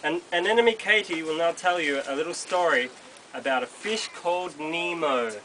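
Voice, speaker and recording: A man's voice: on the high side, moderate pace. A young man introducing a children's show in simple short sentences and questions. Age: young adult. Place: open-sided thatched hall, on a small stage. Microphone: camera some metres away. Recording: noisy open hall, camera at a distance.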